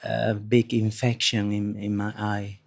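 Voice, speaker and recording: Low, flat-voiced man. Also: creaky. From Spain. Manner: earnest, halting, restarts often. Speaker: audience member sharing a testimony.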